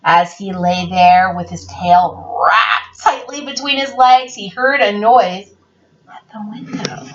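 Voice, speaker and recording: A woman reading a children's picture book aloud in a theatrical, expressive, playful way. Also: mid-range voice, slow. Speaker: read-aloud storyteller. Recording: roomy, dull lo-fi, distant mic.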